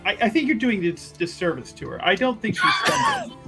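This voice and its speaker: A man with a fairly high voice talks through a tabletop game in an animated, jokey way. He is chatty and improvises casually as a player. Music plays faintly behind him. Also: a little fast. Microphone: streaming microphone on a remote call.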